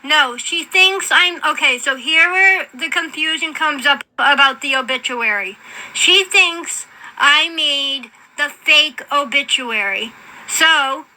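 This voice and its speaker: A woman's voice: sits high, slow pace, bright, forceful tone, poor audio. A woman in a fed-up, confrontational, profane off-the-cuff rant to an online audience. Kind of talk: angry off-the-cuff livestream rant.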